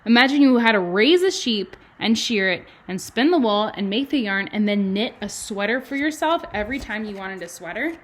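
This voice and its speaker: A woman, a kindergarten teacher reading to children and adding chatty, animated explanations and questions in simple words. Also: medium pitch, normal speed, hardly any pauses. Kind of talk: children's read-aloud with chatty asides.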